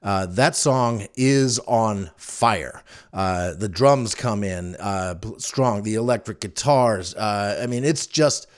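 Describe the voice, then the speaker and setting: A man's voice: lowish voice, slow pace. A middle-aged man hosting a podcast, giving casual recommendations in a rambling, conversational way. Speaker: podcast host. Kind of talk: casual solo recommendations chat.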